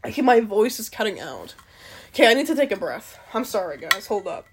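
Lively young Canadian woman rambling. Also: medium pitch, fairly quick, noisy background. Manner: rambling, lots of "like" fillers, casual swearing. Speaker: podcast host. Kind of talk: casual solo chat.

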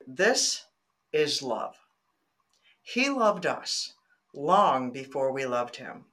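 A woman's voice: very deep voice, extremely slow. A woman from Michigan, a guest on a faith podcast, chatting earnestly and reading scripture aloud. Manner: earnest, animated, laughs while telling stories.

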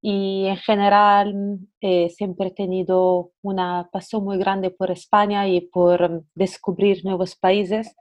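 A young Italian woman with an Italian accent, a podcast guest talking hesitantly with many pauses. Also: mid-range voice, little pitch movement, a little mellow. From Italy. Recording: dull lo-fi sound.

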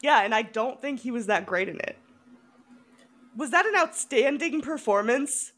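Female podcast co-host, higher-pitched and lively, opinionated and giggly in loose movie chat. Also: moderate pace. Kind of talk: casual film chat.

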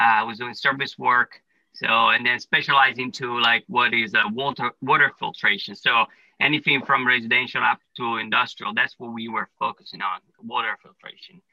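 A man's voice: medium pitch, creaky, pushed and bright, no echo, poor audio. A man from Italy with an Italian accent, a plumbing business owner answering interview questions casually, with many fillers. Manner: explains step by step with many "uh" and "you know" fillers.

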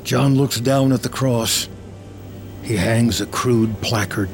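A man narrating a screenplay in the present tense with measured, dramatic storytelling. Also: medium pitch, fairly even intonation, over music.

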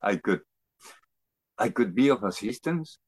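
Deep-voiced man from Argentina, halting and reflective. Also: expressive, breathy edge, no echo. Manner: halting, reflective, searching for words. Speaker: interview guest. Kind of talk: personal testimony in conversation.

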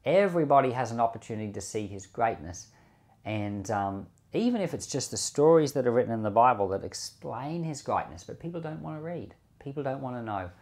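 Conversational man teaching from the Bible. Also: mid-range voice. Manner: conversational, explanatory, with rhetorical questions. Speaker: pastor. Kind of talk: Bible teaching podcast with commentary and prayer.

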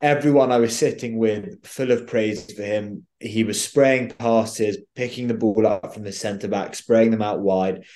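A young man with a fairly low voice talks football as a podcast guest. His speech is hesitant, with repeated words and stammered restarts. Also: fairly even intonation, a little slow, few pauses, warm tone. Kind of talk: casual football podcast chat.

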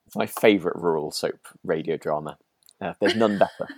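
Low-voiced British man, dry sound. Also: fairly quick, no echo. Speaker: podcast co-host. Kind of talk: casual podcast chat. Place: remote call from home.

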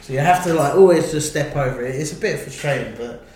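A man's voice: fairly high pitch, quick pace, a little mellow, a little hiss or hum. English man, echoey room. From England. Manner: rambling, restarts, laughs often. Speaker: podcast host. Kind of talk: casual chat. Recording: very echoey room, faint ambience.

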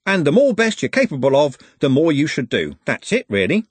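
Casting: cheery, worldly coach driver, wry patter, swinging pitch, voiced by a man. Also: wildly swooping pitch, a little fast, husky, no room sound, exaggerated voice. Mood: cheerful. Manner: chatty and wry, with a raised, bright delivery. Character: cheerful, worldly middle-aged male night-bus driver.

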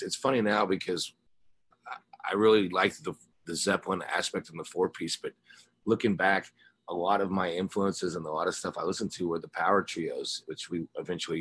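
Male interview guest, American, low voice with a slightly rough edge, loose informal musician talk. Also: fairly bright tone, dry recording. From Ozarks, United States. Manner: rambling, informal, slangy. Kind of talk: casual interview chat.

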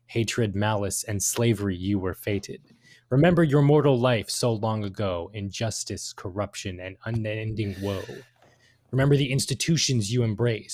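Male podcast co-host, lower-pitched, halting and casual, very dry close recording. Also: a little mellow, no room sound. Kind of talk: casual lore discussion.

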